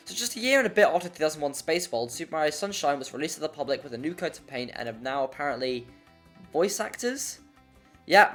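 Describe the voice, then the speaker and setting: An informal narrator talks through a scripted video essay at a medium pitch. The delivery is jokey and wanders into casual asides. Music plays behind the voice. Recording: music under the voice. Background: background music.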